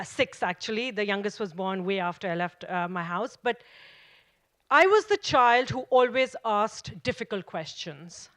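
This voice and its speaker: A woman in her forties from Pakistan, speaking on a forum stage and telling her life story in a measured, earnest way, with rhetorical questions. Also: medium pitch, a bit bright. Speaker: guest speaker, filmmaker. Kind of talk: storytelling keynote talk.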